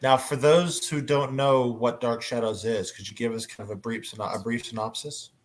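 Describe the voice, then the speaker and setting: A man's voice: medium pitch, fairly even intonation, moderate pace. A man moderating a casual fan panel discussion, chatty and prompting the others with questions.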